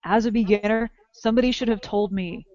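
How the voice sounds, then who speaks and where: A woman's voice: medium pitch, fairly even intonation, brisk, dark tone. A woman co-hosting a podcast, giving emphatic advice in a casual rant. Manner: ranting, emphatic. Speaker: podcast co-host. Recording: dull lo-fi sound.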